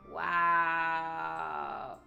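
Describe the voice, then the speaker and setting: A woman's voice: low-pitched, level tone, extremely slow, vocal fry, narrow, tinny audio. A woman streaming a video game, switching between live commentary and reading story text aloud. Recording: roomy echo, game music behind. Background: video game music.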